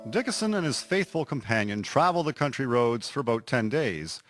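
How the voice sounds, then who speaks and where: A man's voice: medium pitch, very animated, a little fast. A man narrating a radio drama as a scripted storyteller. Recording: music prominent behind the voice. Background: loud music.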